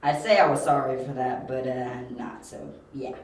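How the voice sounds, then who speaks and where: A man's voice: medium pitch, moderate pace, lightly acted. A very echoey, distant recording of a man acting as a young detective in an audio drama. He is brash and sure of himself. His delivery is dry and clipped, with a sardonic edge. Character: confident, wry young male detective, analytical and brash. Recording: very echoey, distant, faint hiss.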